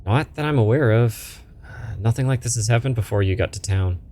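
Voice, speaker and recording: A man's voice: medium pitch, brisk, darkish tone, slight background noise, close to an everyday voice. Man reading as blunt, skeptical cousin in a game. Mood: dismissive, practical. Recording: music behind the voice.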